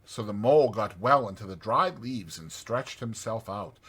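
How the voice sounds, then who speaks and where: A man reads a storybook aloud as narrator. His phrasing is measured and dramatic, with animated pitch. The voice is crisp-edged and forward, with some huskiness. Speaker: audiobook narrator.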